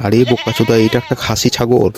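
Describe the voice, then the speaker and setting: A man's voice: mid-range voice, flat delivery, quick pace, lightly acted. A man voicing a bossy, demanding village fellow with coarse, dismissive talk in a comedy cartoon. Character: gruff bossy village fellow running a picnic. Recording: loud music over the voice.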